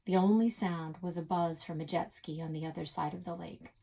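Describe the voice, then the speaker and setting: A woman reads a children's novel aloud in a slightly low, husky voice. She narrates in the first person with expressive delivery, stretching out the words that matter. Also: brisk. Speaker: read-aloud reader for a public library.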